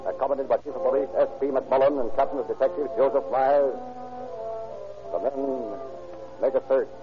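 An actor as the formal narrator of a police case in an old-time radio crime drama. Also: male voice, medium pitch, fairly even intonation, normal speed, close to an everyday voice. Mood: formal, dramatic. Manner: formal, urgent present-tense reporting. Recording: tinny old radio recording. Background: music.

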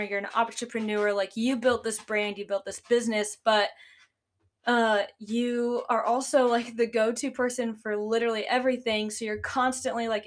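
Young woman chatting on her podcast, kinda congested and nasal, says like and um a lot, voice a bit on the high side. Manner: chatty, lots of "like" and "um" fillers. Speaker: podcast host, business owner. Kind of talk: casual solo podcast talk.

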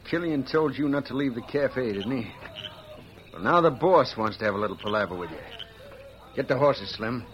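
A man's voice: mid-range voice, expressive, moderate pace, fairly bright tone, close to an everyday voice. An American actor playing a bossy, menacing outlaw henchman in a radio western, folksy in wording and barking orders. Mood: menacing, taunting. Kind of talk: old-time radio western acting. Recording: noisy, dull old radio recording.

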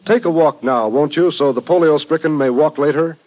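An American man with a slightly high voice reads as an old-time radio announcer. His delivery is formal and earnest, and the broadcast sounds muffled. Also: a little fast. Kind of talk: 1950s radio announcing, scripted appeal.

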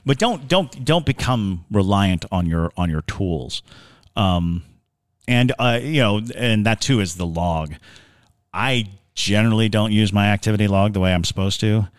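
Male co-host, low register, measured explanatory conversational delivery, dry studio-close sound. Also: clean tone, no echo. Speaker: podcast co-host. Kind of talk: casual explanatory talk.

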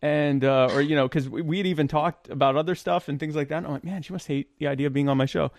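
A man's voice: a little high, steady tone, quick pace, a little mellow, dry recording, clean studio sound. A man hosting an online talk show, chatting casually in an easygoing, self-deprecating way with fillers. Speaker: show host. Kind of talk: casual conversation.

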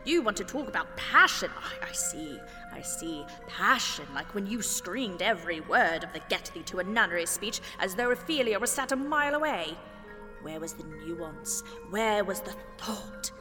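A woman acts out the ghost of a grand old stage actress for an audio drama. She is pompous and scornful, with theatrical, precise delivery. Her voice rings in a long hall reverb, with music clearly playing behind it. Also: mid-range voice, expressive, moderate pace, close to an everyday voice. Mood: indignant.

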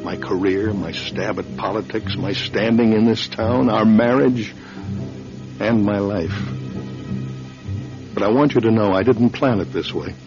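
An actor playing a troubled, earnest middle-aged solid citizen with a formal manner in a classic radio drama. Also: male voice, fairly low pitch, lightly acted. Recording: dull lo-fi radio recording, music behind.

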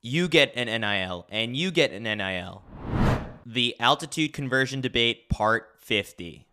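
A man narrates a scripted sports newsletter. His voice is bright and forward, with a smooth, crisp tone. The delivery is wry and clearly enunciated. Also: mid-range voice, animated, normal speed. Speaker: host reading a track and field newsletter.

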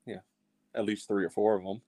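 A man with a slightly low voice speaks close and dry, with no room sound. He gives casual sports analysis as a co-host, in a measured way that qualifies each opinion. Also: level tone, mellow, dry recording. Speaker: co-host of a soccer fan show.

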